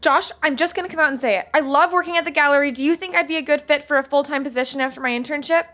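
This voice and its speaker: Eager, very high-voiced young hostess. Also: female voice, very fast, pushed and bright. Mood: anxious, astonished. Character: eager, anxious young woman intern hosting dinner. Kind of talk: sitcom acting, conversational.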